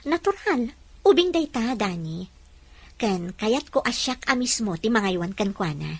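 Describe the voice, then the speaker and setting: Woman doing a sharp probing mistress, voice bounces up and down, kinda husky. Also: a little high, very animated, a little slow, full character voice. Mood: firm. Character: sharp-tongued mistress questioning a maid.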